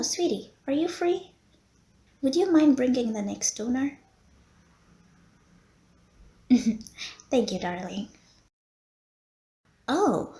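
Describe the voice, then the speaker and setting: Woman acting a genteel, slow-speaking vampire lady, mellow and roomy. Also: mid-range voice. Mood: sweet, welcoming. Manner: genteel, courteous, teasing. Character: polite, flirty female vampire running a blood bank, playful and long-suffering. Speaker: medical center receptionist. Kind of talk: roleplay audio acting, addressing the listener.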